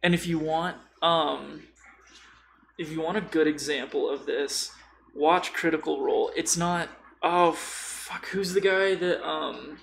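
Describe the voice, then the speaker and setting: A man's voice: a little high, gravelly, fairly bright tone, slight background noise. A man chatting casually on a podcast about tabletop role-playing, informal and rambling, swearing freely. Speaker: podcast co-speaker.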